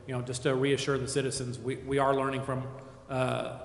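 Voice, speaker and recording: A man making an earnest, measured public statement as a civil servant, often restating his points. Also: medium pitch, level tone, creaky. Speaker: civil servant addressing a council. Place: large indoor council chamber. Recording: echoey council chamber.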